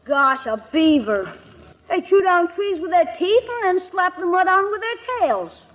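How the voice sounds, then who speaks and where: A performer playing a cheeky, wisecracking young schoolboy in a 1940s radio comedy, pleading and lively. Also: very high-pitched, measured pace. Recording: muffled 1940s radio recording.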